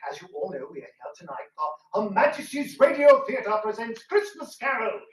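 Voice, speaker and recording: A woman's voice: on the high side, sing-song, normal speed. A woman playing a genteel Victorian radio presenter, declamatory, playful and emphatic, addressing a live audience. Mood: grand, playful. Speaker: stage host of a live radio-theatre show. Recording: roomy live hall, distant mic.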